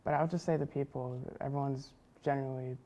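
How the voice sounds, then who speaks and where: A young woman student journalist, speaking softly in a casual interview and letting her phrases trail off quietly. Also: rumbling low, fairly even intonation, fast talker, a little hiss or hum. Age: young adult. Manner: soft-spoken, trailing off quietly.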